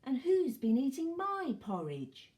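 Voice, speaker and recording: Woman voicing a high, gentle mother bear. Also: huge pitch swings, slow pace, exaggerated voice. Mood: mild indignation. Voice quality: quieter, lighter. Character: quieter, gentler mother bear, adult female.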